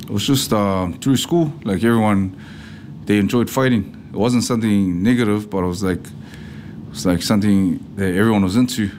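A man, a pro fighter answering interview questions in a halting way, with many fillers and restarts. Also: fairly low pitch, a little mellow. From Samoa. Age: 30s. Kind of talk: documentary interview. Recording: noisy background ambience.

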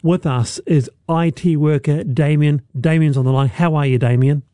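Mellow-voiced male radio host. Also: on the high side, fairly even intonation, moderate pace, no echo, clean studio sound. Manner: upbeat, reads out listener messages. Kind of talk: casual radio chat.

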